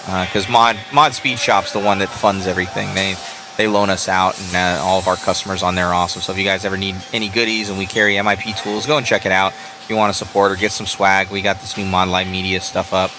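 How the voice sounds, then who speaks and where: Tired, low-voiced stream host. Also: male voice, noisy background. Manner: loose, rambling, friendly. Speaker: livestream host. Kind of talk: casual end-of-event chat. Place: indoor RC race track.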